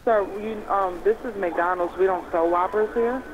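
A woman working at a fast-food restaurant, taking a customer service call by phone. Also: mid-range voice. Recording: muffled noisy phone line.

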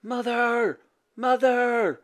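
A man's voice: on the high side, very animated, moderate pace, a bit bright, voice pushed up. A man voicing an earnest, anxious young son in a storybook, speaking with urgency. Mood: urgent.

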